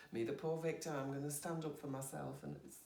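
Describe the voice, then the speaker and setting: A woman's voice: sits low, level tone, moderate pace, vocal fry, warm tone, a little hiss or hum. A woman, a yoga teacher, talking candidly and expressively about her own healing and laughing at herself. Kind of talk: candid personal conversation. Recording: roomy sound from a distant mic.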